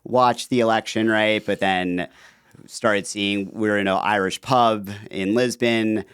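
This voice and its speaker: Low, smooth-voiced man telling a story. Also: unhurried, no echo, studio quality. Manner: relaxed storytelling with frequent fillers. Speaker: podcast guest, author. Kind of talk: casual interview conversation.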